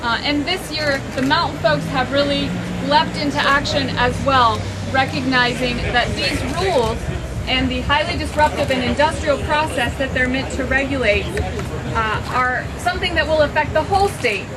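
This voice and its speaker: A woman's voice: sits high, moderate pace, low-quality recording. A woman hosting an outdoor press conference as an organizer, raising her voice with no sound system and using some fillers. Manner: speaking up without amplification, friendly with fillers. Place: outdoors on a college campus, in a crowd. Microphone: recorder at a distance, no sound system. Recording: outdoor crowd and loud traffic noise.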